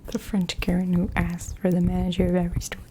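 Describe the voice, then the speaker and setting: A woman's voice: on the low side, monotone, fast talker, noisy background. A woman streamer who whispers casual stories and chat replies, often giggling and sometimes switching into French. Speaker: livestreamer reading chat.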